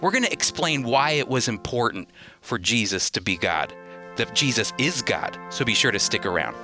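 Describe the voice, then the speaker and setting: A man hosting a radio Bible program, teaching in a sermon-like style with earnest, emphatic, deliberate phrasing. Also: mid-range voice, very animated, over music.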